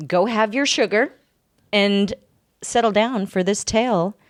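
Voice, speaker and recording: A woman's voice: mid-range voice, takes it slowly, no echo, clean studio sound. A woman hosting a podcast, telling a story in an informal, joking way as part of a casual chat. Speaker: podcast host.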